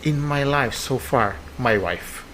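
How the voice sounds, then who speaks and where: An Indonesian man in his fifties answers interview questions in English, slowly and unhurriedly, with frequent pauses and fillers. His tone is friendly and joking. A faint hiss of noise sits under his voice. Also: mid-range voice, animated, fairly bright tone, poor audio. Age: 50s. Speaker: guest, air force base commander.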